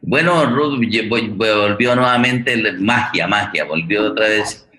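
A man hosts a live online forum in a low, forward, bright voice. He talks conversationally and haltingly, repeating phrases as he goes. The sound is echoey, like a reflective room heard over a stream. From Colombia. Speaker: forum host.

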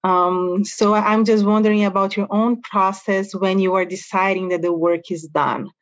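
A woman's voice: medium pitch, flat delivery, moderate pace, no echo, dull audio. A woman interviewing an artist, asking long, musing questions with some fillers. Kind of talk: conversational interview.

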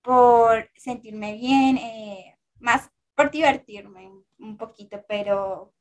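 A woman's voice: fairly high pitch, slow pace. A woman of twenty-one from Colombia, a guest on a podcast, chatting in a playful, teasing way. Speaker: interview guest, content creator. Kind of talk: casual podcast chat.

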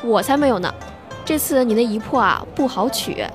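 Woman as a cheeky immortal lady, arch n mocking, highish, audio drama w music behind. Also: expressive, a little fast, lightly acted. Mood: needling. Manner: teasing, mock-reverent, arch.

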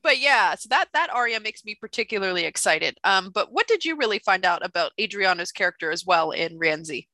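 A young woman co-hosting a casual opera podcast, animated and self-mocking, talking into a laptop on a call. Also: a little fast, few pauses, no room sound. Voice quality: deep for a woman. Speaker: podcast co-host. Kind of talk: casual chat about opera. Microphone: computer mic on video call.

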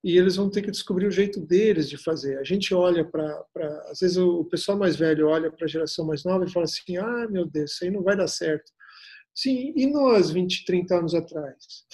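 A man's voice: sits high, brisk, darkish tone. A middle-aged man with a Brazilian accent chatting in an interview, reflective and fond of rhetorical questions. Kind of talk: casual interview conversation.

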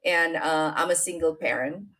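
A middle-aged woman from Indonesia with an Indonesian accent, telling her life story as an interview guest, unhurried and full of fillers. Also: sits low, fairly bright tone. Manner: unhurried, many fillers and restarts, non-native grammar.

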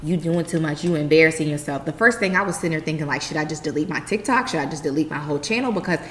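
A woman in her twenties co-hosting a podcast, telling her dating stories with animated emphasis. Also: sits low, racing. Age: 20s. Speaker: podcast co-host. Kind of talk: casual chat. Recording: echoey room with faint noise.

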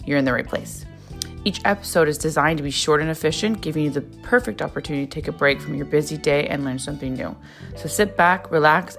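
A woman host reading a polished, scripted podcast introduction in an even announcer manner. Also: low voice. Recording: guitar music under voice. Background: guitar music.

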